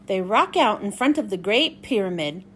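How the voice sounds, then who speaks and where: A woman host reading a storybook aloud for children in an expressive way. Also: medium pitch, normal speed, a little hiss or hum. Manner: expressive, clearly addressed to young children.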